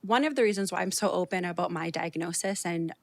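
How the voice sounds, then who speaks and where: A woman's voice: fairly low pitch, quick pace, vocal fry. A Canadian woman in her thirties, a podcast guest talking openly and earnestly, with lots of "you know" fillers. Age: 30s. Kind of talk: candid conversational interview.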